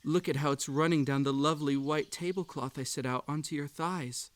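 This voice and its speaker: A man's voice: a little high, fairly even intonation, brisk, natural voice. A man playing an unhinged, possessive bunny hosting a sinister tea party in a roleplay audio drama, coaxing and falsely polite. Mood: menacing, unstable. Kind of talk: scripted roleplay audio drama addressed to the listener.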